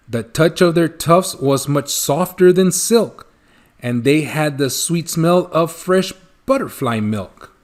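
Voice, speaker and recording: A man voicing a secretive old recluse who tells a storybook tale in rhyme with dramatic, drawn-out words. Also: on the high side. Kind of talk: storybook read-aloud in rhyme.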